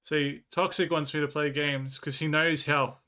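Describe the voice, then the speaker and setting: A man's voice: on the high side, fairly even intonation, unhurried, bright, forceful tone. An Australian man streaming live, rambling casually as he reads and answers his chat and swears freely.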